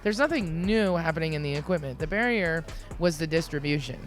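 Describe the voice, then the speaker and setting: A woman hosting a podcast interview, talking casually and chattily with many fillers and restarts, her voice low for a woman. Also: expressive, fairly quick, soft background music. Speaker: podcast host.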